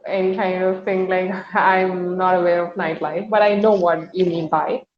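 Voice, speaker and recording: A woman's voice: on the low side, level tone, moderate pace. A woman who works as an English trainer, asking curious questions and reacting often in a casual video-call chat. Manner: curious, asks many questions, frequent reactions. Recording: echoey lo-fi call audio.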